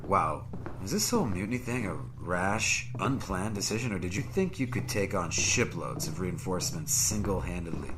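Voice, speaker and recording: An actor playing a wounded security officer in a science fiction audio drama, halting and strained with pain, wryly stoic. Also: male voice, deep voice, lively intonation, noisy background. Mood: wry, doubtful. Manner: halting, wry.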